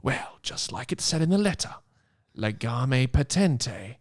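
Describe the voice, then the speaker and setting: A man voicing an earnest, know-it-all schoolgirl witch who explains magic in a deliberate, emphatic way for a comic story reading. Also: mid-range voice, big pitch swings. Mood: earnest, explanatory.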